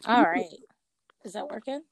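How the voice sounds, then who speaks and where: Congested woman telling lively stories. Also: a little high, normal speed, a crowd in the background. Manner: animated storyteller, acts out voices. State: congested from allergies. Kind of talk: casual chat. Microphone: remote call.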